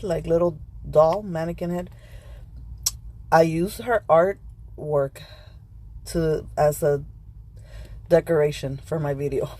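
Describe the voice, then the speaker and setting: A middle-aged woman vlogger with a slightly hoarse voice from a cold, chatting casually and rambling while she eats lunch in her car. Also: low voice, mellow, slight background noise. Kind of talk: casual chat.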